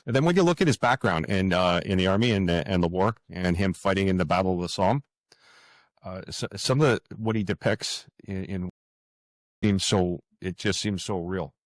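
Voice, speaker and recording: A man's voice: low-pitched, dry recording. A man answering as an author guest in a casual interview, hesitant, hedging and repeating words as he thinks.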